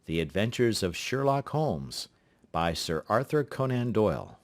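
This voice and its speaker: A man narrating a literary audiobook in a measured, formal delivery. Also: on the low side, very animated.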